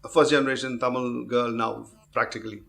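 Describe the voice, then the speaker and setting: A man rattles off his questions quickly, his voice gravelly with fry. He probes his guest and often talks over her answers. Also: medium pitch, level tone, fairly bright tone. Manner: quick, probing, interrupts often. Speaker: talk show host.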